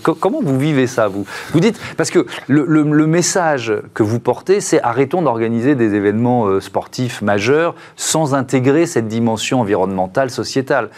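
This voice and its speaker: A man works as a broadcast host. His voice sits at a middle pitch, and he speaks conversationally as he interviews and presents. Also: lively intonation, normal speed, few pauses, a little mellow.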